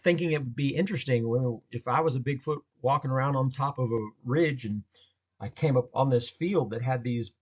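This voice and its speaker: A man talking casually through a slideshow of his own experiences, in a halting, rambling, folksy way. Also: mid-range voice, breathy edge. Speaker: presenter recounting a field experience. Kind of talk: casual narrated slideshow storytelling. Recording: dull lo-fi audio.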